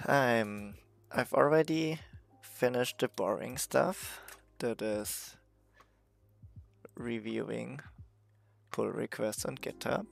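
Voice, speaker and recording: Slow, gravelly, hoarse man. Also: mid-range voice. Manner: relaxed, chatty, self-mocking, trails off into asides. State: hoarse, recovering voice. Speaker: game developer streaming his work. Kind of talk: casual livestream chat. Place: messy university office.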